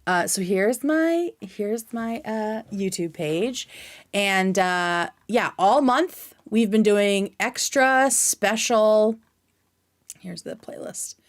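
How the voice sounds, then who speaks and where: A woman's voice: mid-range voice, slow pace. A woman chatting casually as a livestream host, with an informal, scattered manner and free swearing.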